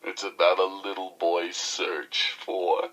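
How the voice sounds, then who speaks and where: A man's voice: sits low, little pitch movement, fairly bright tone, heavily acted, poor audio. A male actor playing a flamboyant, irreverent radio DJ in a post-apocalyptic game, with an American accent, a gritty voice and theatrical, wisecracking patter. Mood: exuberant, showy. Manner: theatrical, wisecracking, folksy, drawn-out and punchy. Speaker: radio DJ and newsreader. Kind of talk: showy radio DJ patter and mock newscast.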